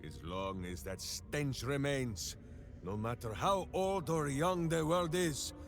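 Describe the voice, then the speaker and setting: An actor playing a big, simple-hearted heroic warrior in a video game, righteous and grandiose, speaking in a theatrical manner. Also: male voice, mid-range voice, takes it slowly, music in the background. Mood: resolute, boastful. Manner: theatrical, grandiose, speaks of himself in third person. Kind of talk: video game character acting.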